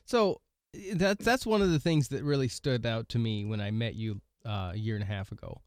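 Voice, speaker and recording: A man's voice: medium pitch, normal speed, darkish tone, no echo. A male interview host with a mid-range voice, recorded dry with no room sound. He speaks thoughtfully and hesitantly, with plenty of fillers. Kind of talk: conversational interview.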